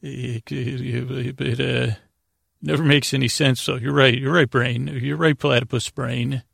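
Male, heavily creaky gravelly voice, dry close sound, halting meandering bedtime monologue, goofy, repeats himself. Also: mid-range voice, steady tone, dry recording. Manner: halting, repeats words, drifts off on tangents; goofy, gently silly. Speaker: host of a bedtime sleep podcast.

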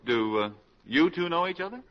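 A man's voice: fairly high pitch, expressive, moderate pace, lightly acted. An actor playing a sardonic, jealous lawyer in his thirties in a 1940s radio comedy. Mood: jealous, dry. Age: 30s. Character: jealous, sardonic lawyer and old college chum. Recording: lo-fi 1940s radio broadcast with music.

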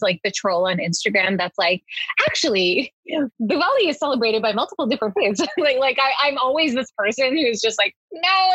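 Woman, chatty, many fillers, husky breathy tone, slightly muffled audio. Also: medium pitch, fairly bright tone, dry recording. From family from Hyderabad, India. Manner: quick, chatty, lots of "like" fillers. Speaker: children's book publisher. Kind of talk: panel interview answer.

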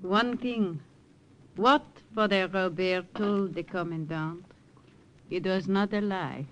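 An actress playing an older widow in a 1940s radio drama, gentle and motherly, speaking English. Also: mid-range voice, slow, dull audio, close to an everyday voice. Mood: warm, earnest. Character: older French widow, kindly and protective.

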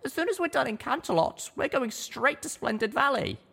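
A man voicing an earnest, stubborn young female unicorn in an audiobook, high and insistent. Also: sing-song, moderate pace, creaky, a bit bright, breathy edge, heavily acted. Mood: firm. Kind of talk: audiobook reading.

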